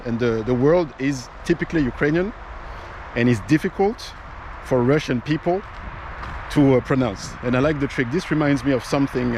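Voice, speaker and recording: A man's voice: mid-range voice, a little mellow. Rambling male commentator, traffic noise behind. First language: not English. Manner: conversational, frequent fillers and restarts. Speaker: political commentary host. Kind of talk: casual commentary to camera.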